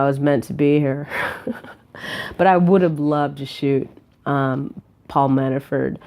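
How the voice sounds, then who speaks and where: A woman in her forties with a raspy voice from a cold, chatting casually to camera from a hotel bed, rambling with many fillers and restarts. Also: low-pitched, mellow. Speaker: vlogger. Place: hotel room, lying on a bed.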